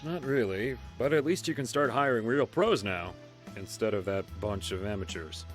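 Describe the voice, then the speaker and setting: An actor voicing a smug, manipulative government official in a visual novel, cool, dismissive and sardonic. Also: male voice, fairly low pitch, animated, moderate pace, lightly acted. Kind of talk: English visual novel voice acting. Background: music and instruments.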